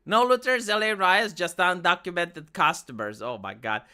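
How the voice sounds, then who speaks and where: A woman's voice: on the low side, normal speed, pushed and bright, clean tone. A woman streamer with a Romanian accent, English not her first language, ranting profanely with many restarts. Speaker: live streamer. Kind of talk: ranting live-stream commentary.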